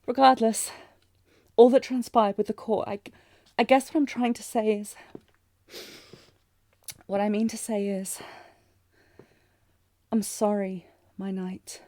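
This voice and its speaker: Slow-spoken, apologetic fantasy princess played by a woman. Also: medium pitch, fairly even intonation, dark tone, no echo, studio quality. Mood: contrite, flustered. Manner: formal archaic diction, hesitant, breathy sighs. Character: apologetic, anxious young princess, tender and formal. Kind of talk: scripted romantic fantasy audio roleplay, intimate.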